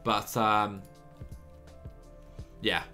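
A young man in his twenties streaming a cycling management game from a small room on a headset, chatty and excitable, laughing often while reading chat. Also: fairly low pitch, very slow, soft background music. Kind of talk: live gameplay commentary and chat reading.

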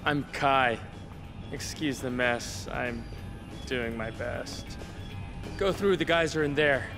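An actor voicing a hospitable, earnest survivor who runs a refuge in a zombie video game, speaking in a measured way. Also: male voice, medium pitch. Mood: welcoming. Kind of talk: video game dialogue acting. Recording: game music behind the voice.

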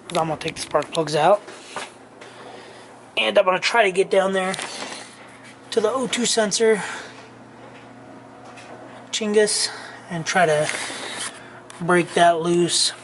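A man's voice: high voice, background noise. A middle-aged man hosting a casual shopping haul vlog in his home garage, talking offhand with many fillers and self-corrections while filming on a handheld camera. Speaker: channel host. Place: home garage workshop, indoors. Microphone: handheld camera mic, filming point of view.

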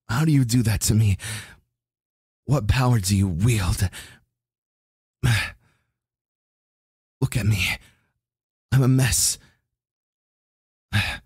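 A very breathy, husky young warrior, acted by a man for romantic ASMR, brooding yet secretly tender. He speaks slowly and haltingly on the lower side, stammering and sighing close to the mic. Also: darkish tone, no room sound, full character voice. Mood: agitated, torn. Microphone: close microphone.

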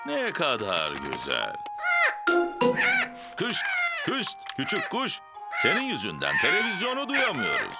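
A man's voice: medium pitch, wildly swooping pitch, unhurried, creaky, long pauses, full character voice. A man voicing a jolly, bumbling father pig in a children's cartoon, hearty and reassuring. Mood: annoyed. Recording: music bed behind the voice.

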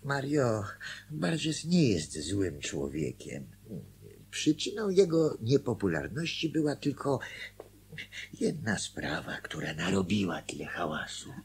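An actor playing an anxious, guilt-ridden small-town husband in a radio play, hesitant and agitated in conversation. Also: male voice, lowish voice, very animated, slow pace, a little hiss or hum, heavily acted. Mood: guilty, defensive. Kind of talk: Polish radio play acting.

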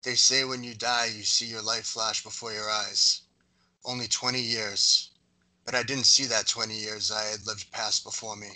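Dying young Greek man, read by a male actor, grieving, pleading, very bright forceful lowish voice, film drama. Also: flat delivery, moderate pace, rough recording. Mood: wistful. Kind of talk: screenplay table read.

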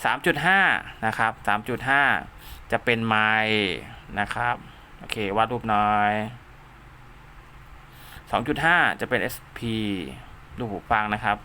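A man's voice: lowish voice, expressive, measured pace, pauses often, pushed and bright, a little hiss or hum. A man hosting an informal DIY tutorial, talking casually as he works, repeating himself and dropping in little spoken sound effects. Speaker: host of a DIY radio repair channel. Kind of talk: informal hands-on tutorial, talking while drawing diagrams.

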